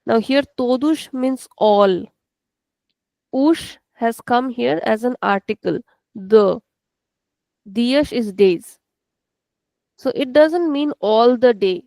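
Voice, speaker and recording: A woman's voice: fairly high pitch, slow pace, vocal fry, long pauses, darkish tone, no echo, poor audio. A woman with an Indian English accent teaching an online language class, explaining patiently and repeating each point step by step.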